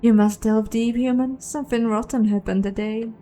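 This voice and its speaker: A woman's voice: a little high, fairly even intonation, normal speed, dark tone, faint music behind, natural voice. A woman voicing a weary, solitary old elf druid in a fantasy game, solemn and cryptic, with formal phrasing. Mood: cryptic, grave.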